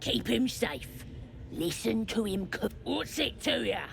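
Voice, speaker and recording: A scrappy, possessive goblin from a fantasy game, with a high voice full of heavy rasping creak. The goblin is snappish and defiant, with slangy speech and swooping pitch. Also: female voice, animated, slow pace, a little hiss or hum, heavily acted. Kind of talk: video game character acting.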